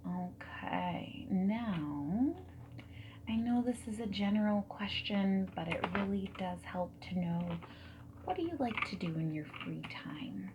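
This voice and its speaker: A woman doing a friendly, reassuring ASMR roleplay as a dating service consultant, soft-spoken and often whispering. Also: mid-range voice, lively intonation, takes it slowly, muffled recording, background noise. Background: mechanical keyboard typing and clicks.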